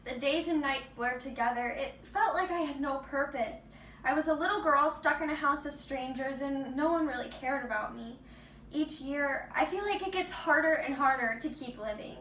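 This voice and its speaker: A woman's voice: high voice, normal speed, a bit bright. A woman playing an anguished, bitter young captive in a rehearsed stage monologue. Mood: anguished, sorrowful. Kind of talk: rehearsed readers theater monologue. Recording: roomy distant mic with background noise.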